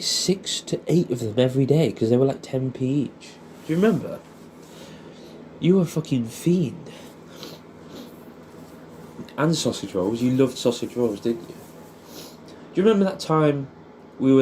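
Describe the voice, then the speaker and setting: A young man with an English accent and a soft, mellow voice reminisces as if to an old mate. He rambles nostalgically, swears freely and keeps ending statements with little questions. There is faint noise behind him. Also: medium pitch. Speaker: audio creator. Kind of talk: casual intimate reminiscing addressed to an old friend.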